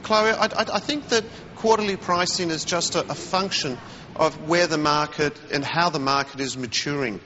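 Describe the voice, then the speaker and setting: A man being interviewed as a commodities analyst on live TV news, talking in a measured way and murmuring agreement while others speak. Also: a little high, sharp tone, long reverb tail, muffled recording, a little hiss or hum. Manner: measured, with frequent murmured acknowledgments. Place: remote TV studio.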